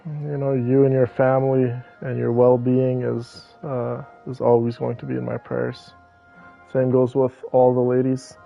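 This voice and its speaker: Laid back guy streamer, low-key flat delivery, gentle and sweet to chat, bit of game music behind. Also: measured pace, gravelly, narrow, tinny audio. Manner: gentle, affectionate with viewers. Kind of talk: casual livestream chat.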